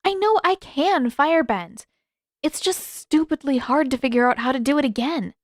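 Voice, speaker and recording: A woman voicing an impatient, playful teenage girl with an expressive, informal delivery, as in an audiobook drama. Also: high-pitched, normal speed, no room sound, clean studio sound, voice pushed up. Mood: frustrated, whiny. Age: teenage character voiced by adult.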